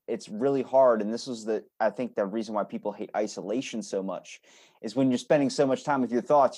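A man's voice: fairly low pitch, a little mellow, no room sound, studio quality. A man hosting a podcast, talking in an informal, earnest, rambling and introspective way. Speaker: podcast host. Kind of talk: casual, introspective podcast chat.

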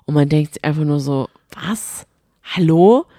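A woman's voice: deep voice, expressive, unhurried, warm tone, dry recording, clean studio sound. A woman co-hosting a podcast in casual chat, speaking colloquially and with emphatic opinions. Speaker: podcast co-host. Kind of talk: casual chat about a reality show.

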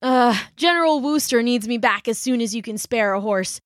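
A woman's voice: a little high, moderate pace, dry recording, clean studio sound, lightly acted. A woman reading a storybook aloud, voicing a sixteen-year-old boy messenger who is wounded and speaks in breathless, pleading bursts. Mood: urgent.

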